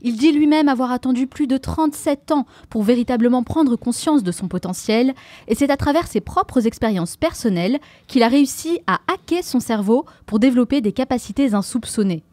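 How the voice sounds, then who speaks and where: A woman's voice, a touch higher than most, hosts a podcast with upbeat, motivational drive. Her tone is mellow rather than bright. The sound is dry and close, with no room around it. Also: expressive, a little fast, no echo. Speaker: podcast host. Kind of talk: scripted podcast intro, then interview.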